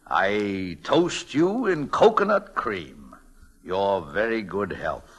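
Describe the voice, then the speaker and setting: Older male telegraph operator: grandiloquent, formal, bright-voiced, vintage radio drama. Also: lowish voice, sing-song, slow pace, slightly rough, full character voice. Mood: jovial, ceremonious. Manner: florid, grandiloquent, courtly phrasing. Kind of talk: 1940s-50s radio drama acting.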